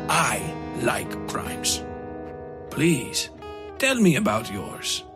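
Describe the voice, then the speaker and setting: Male narrator as a lanky sinister knifeman, deliberate formal phrasing, coolly taunting, audio horror story, music behind. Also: medium pitch, huge pitch swings, heavily acted. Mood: sly menace. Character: freakishly tall, menacing Castilian knife-wielding man, coolly taunting. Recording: music under the voice.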